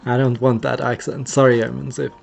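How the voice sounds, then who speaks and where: Gravelly-voiced young Swedish man explaining. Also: medium pitch, level tone, fairly quick, a little mellow, faint music behind. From Sweden. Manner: earnest, explaining and acting out gestures. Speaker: streamer. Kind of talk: casual explanatory livestream talk.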